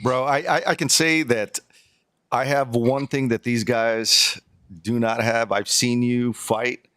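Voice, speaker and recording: A man's voice: mid-range voice, steady tone, takes it slowly, a bit bright, clear, resonant tone, no echo, clean studio sound. A man from South Texas co-hosting a casual podcast, joking around and hyping up the guest. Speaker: podcast co-host.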